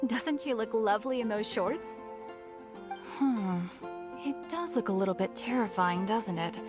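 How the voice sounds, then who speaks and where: A woman's voice: mid-range voice, measured pace, music in the background, natural voice. A woman voicing a chatty, eager young souvenir shopkeeper in a video game, with an enthusiastic sales manner. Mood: uncertain, persuasive.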